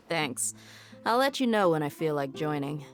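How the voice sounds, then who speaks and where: A woman's voice: sits low, expressive, takes it slowly. A woman playing a tough, easygoing, independent mercenary in a video game, casual and self-assured. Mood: wry, casual. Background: music.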